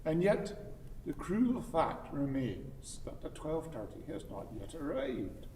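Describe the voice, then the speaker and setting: An actor playing a fussy, courteous gentleman in a radio play, speaking formally and emphatically with earnest deliberation. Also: a little high, big pitch swings, slow, far from the mic. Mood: pedantic, insistent. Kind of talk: stage radio play acting. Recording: echoey hall, background noise.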